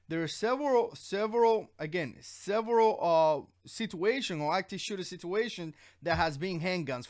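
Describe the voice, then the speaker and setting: A man born in Cuba, hosting his own livestream and giving his opinions in an earnest, rambling way, with many fillers and restarts. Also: a little high. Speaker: channel host. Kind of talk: casual livestream commentary.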